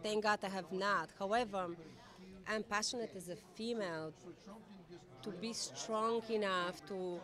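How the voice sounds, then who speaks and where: A woman's voice: medium pitch, fairly even intonation, measured pace. A woman with a Bulgarian accent giving earnest, unscripted interview answers at a red carpet event. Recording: crowd chatter behind voice.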